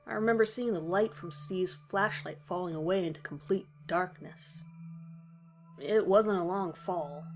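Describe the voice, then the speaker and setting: Woman narrating horror over faint music. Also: medium pitch. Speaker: story narrator. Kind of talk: scripted first-person horror narration.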